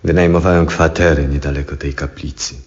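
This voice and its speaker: Very deep-voiced aging Copernicus. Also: male voice, little pitch movement. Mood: pensive reminiscence. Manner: reflective, earnest, measured theatrical delivery. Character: Copernicus, aging, brooding, compassionate astronomer. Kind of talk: 1970s Polish radio drama monologue. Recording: dull 1970s radio recording.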